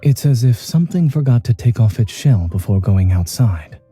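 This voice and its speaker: An actor playing a reserved, composed doctor in a video game, calm, measured and precise, gently teasing. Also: male voice, medium pitch, breathy edge. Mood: composed, dry. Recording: music under the voice.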